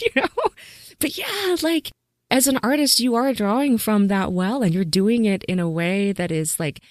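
A woman's voice: medium pitch, no echo, clean studio sound. A woman hosting a casual podcast interview, enthusiastic and affirming toward her guest. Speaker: podcast host.

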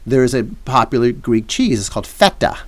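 A middle-aged man co-hosting a podcast, talking in a wry, teasing, measured way. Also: medium pitch, sing-song. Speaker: podcast co-host. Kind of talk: casual chat.

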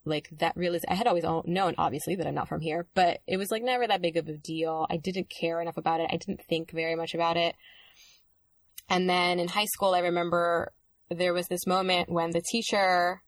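Young woman, thoughtful and halting, slightly low voice, dry close mic. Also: fairly even intonation, no room sound. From Dominican Republic. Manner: reflective, hesitant, many fillers and restarts. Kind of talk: casual conversation.

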